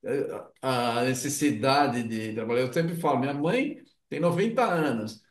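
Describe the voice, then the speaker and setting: A man's voice: mid-range voice, moderate pace, recorded from a distance. A man with a Brazilian accent, hosting an interview in a casual, conversational way. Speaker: interview host. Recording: roomy echo, away from the mic.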